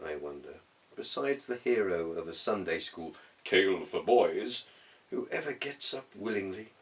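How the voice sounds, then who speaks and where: A man giving an expressive, theatrical and wry reading of a humorous literary essay, with measured dramatic pauses. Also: lowish voice, recorded from a distance. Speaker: audiobook reader.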